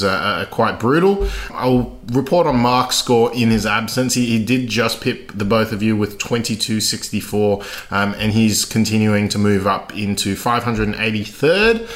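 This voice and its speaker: A man's voice: on the low side, a bit bright. An Australian man hosting a sports podcast, chatty and upbeat, laughing as he talks. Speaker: podcast host. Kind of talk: casual podcast chat.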